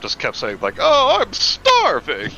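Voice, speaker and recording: A man in casual joking chat, animated and quick to jump in with questions. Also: fairly high pitch, muffled recording, a little hiss or hum.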